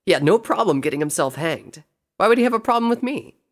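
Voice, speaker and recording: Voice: mid-range voice, expressive, fast, pitched above the performer's own voice, close to an everyday voice. A narrator voicing a roguish, resigned young male pony soldier who talks in a casual, wry way. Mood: bitter.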